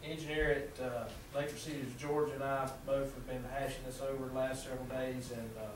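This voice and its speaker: A man's voice: medium pitch, flat delivery, noisy background. Southern guy explaining a plan to council, lots of uh's, far off echoey room mic. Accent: Southern American. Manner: halting, many fillers and restarts. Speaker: city utility official presenting to council. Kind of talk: presenting a proposal at a council meeting. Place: small council meeting room. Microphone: far room camera mic.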